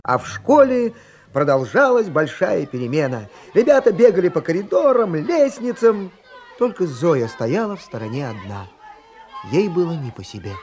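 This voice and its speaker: A man's voice: on the high side, very animated, normal speed, a little mellow, a faint crowd. A man narrating a children's radio play as a storyteller, reading scripted prose. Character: expressive male storyteller narrating a children's tale. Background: music.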